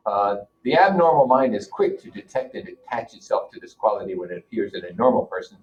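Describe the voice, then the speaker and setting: A man's voice: lowish voice, big pitch swings, fairly quick, a little hiss or hum. A man teaching a class, reading a novel aloud and breaking off to explain it informally and put questions to his listeners. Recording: dull, lo-fi audio.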